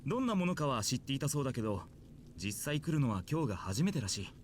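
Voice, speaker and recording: Visual novel role: amused, talkative male authority figure played by a man, mid-pitched and very fast. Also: very animated, slight background noise, close to an everyday voice. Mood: musing. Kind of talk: Japanese visual novel voice acting.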